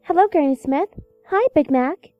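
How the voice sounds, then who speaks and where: A woman's voice: sits high, lively intonation, moderate pace, dark tone, faint music behind. A woman playing a polite, earnest young unicorn mare in an audio drama, courteous and articulate. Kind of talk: audio drama character acting. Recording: thin, phone-like narrow band.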